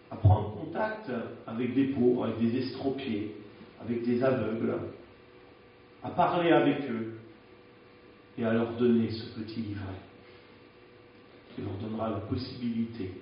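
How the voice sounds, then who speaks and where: A man's voice: mid-range voice, unhurried, long pauses, slight background noise. A male preacher gives a frank, conversational sermon, stumbling now and then over his words. His voice rings through a very echoey church, heard from a distance on a dull, muffled recording.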